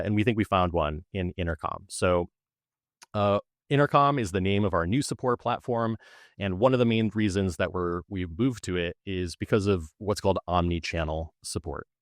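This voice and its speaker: A man's voice: deep voice, no room sound, clean studio sound. A man being interviewed as a guest, explaining how his support team works in a conversational way. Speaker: technical support manager, guest.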